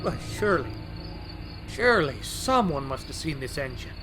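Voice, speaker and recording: A man's voice: on the high side, sing-song, moderate pace. A man playing a timid, polite talking engine who hesitates and stammers, in an audio drama. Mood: nervous. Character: nervous, timid, polite young male engine. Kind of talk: audio drama character acting. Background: music, night insects.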